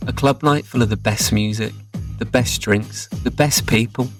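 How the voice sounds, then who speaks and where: A man's voice: medium pitch, moderate pace, faint music behind. A man in his thirties chatting casually and giving his opinions as a podcast guest. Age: 30s.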